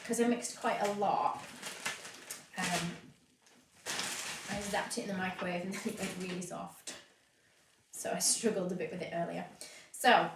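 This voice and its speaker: A woman's voice: fairly low pitch, fairly even intonation, a little slow, long pauses. A woman with a sniffly, hay-fever nose, chatting casually as she explains her steps in a live home tutorial. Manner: chatty, explains as she works, many fillers. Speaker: cake decorator teaching a live stream. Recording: roomy, distant, faint noise.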